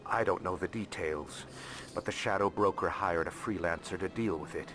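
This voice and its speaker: Very low-voiced, courteous alien broker. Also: male voice, normal speed, a bit bright, a little hiss or hum. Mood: confiding. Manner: polite, formal, knowing. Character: shrewd, courteous alien financial advisor and information agent. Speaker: financial advisor. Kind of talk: video game character acting. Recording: game music behind the voice.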